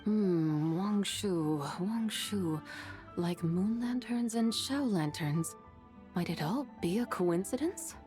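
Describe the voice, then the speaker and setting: A woman's voice: medium pitch, animated, takes it slowly, mellow. A woman voicing an ancient, proud and mischievous horse spirit in a video game, with grandiose, archaic phrasing. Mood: intrigued. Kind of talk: video game character dialogue. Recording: game music behind the voice.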